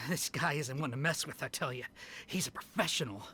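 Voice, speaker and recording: A man's voice: mid-range voice, normal speed, sharp tone, slight background noise, lightly acted. An actor playing an uneasy, easily impressed male bandit in a video game.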